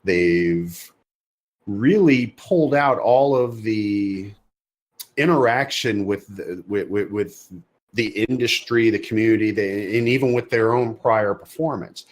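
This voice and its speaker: A man's voice: lowish voice, a little slow. A man co-hosting a casual podcast discussion, often repeating words as he searches for his phrasing. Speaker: podcast co-host.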